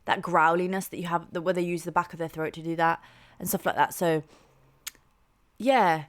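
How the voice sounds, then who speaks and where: Chatty young woman, soft lowish voice. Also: steady tone, normal speed. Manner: chatty and enthusiastic, heavy use of "like" and "um". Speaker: music reaction channel host. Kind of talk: casual reaction commentary.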